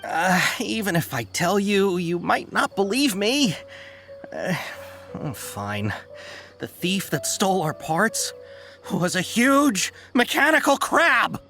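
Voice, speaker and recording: A man's voice: sits high, sing-song, takes it slowly, exaggerated voice. A man playing a nervous, hesitant caravan merchant in a video game, apologetic and eager to please. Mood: uneasy. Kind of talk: video game character dialogue. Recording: game music under the voice.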